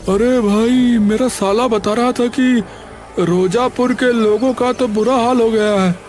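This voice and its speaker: An actor voicing a chatty, enthusiastic village customer with a folksy manner in an animated story. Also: male voice, very high-pitched, a little slow, soft background music. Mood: gossiping, concerned. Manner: folksy, repeatedly says bhaiya. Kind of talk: animated story character acting.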